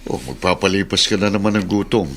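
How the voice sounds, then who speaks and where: A low-voiced Filipino father, calm and practical in a radio drama. He sounds reassuring yet varied in tone, over faint ambience. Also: quick pace, a little hiss or hum. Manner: matter-of-fact, reassuring.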